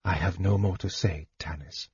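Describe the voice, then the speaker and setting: A man voicing a frail young male mage in a fantasy audiobook, quiet, terse, cool and secretive. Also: deep voice, moderate pace, breathy edge, no room sound, dull audio, pitched below the performer's own voice. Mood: guarded, weary. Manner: quiet, terse, faint delivery.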